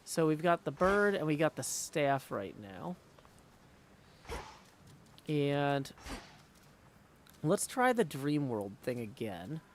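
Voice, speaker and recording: A man talks casually to his livestream audience in a creaky voice. He rambles from one small thing to the next, and faint noise sits behind him. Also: fairly high pitch, sing-song, takes it slowly, long pauses. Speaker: streamer hosting a live game stream.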